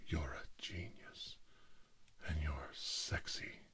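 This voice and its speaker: A man voicing a middle-aged mad scientist villain, grandiose and obsessive, muttering haltingly to himself in an ASMR roleplay monologue. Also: very deep voice, sharp tone, full character voice. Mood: smug self-adoration.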